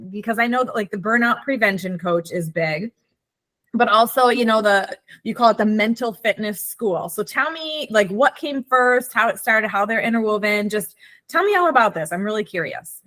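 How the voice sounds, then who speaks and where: A woman's voice: medium pitch, animated, moderate pace, a bit bright. A woman hosting a podcast interview, chatty and enthusiastic, with rambling restarts. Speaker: podcast host, life coach.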